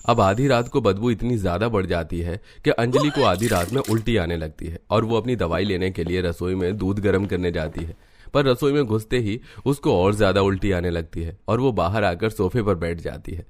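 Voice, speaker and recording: Low-voiced male cartoon narrator. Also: moderate pace, a little mellow.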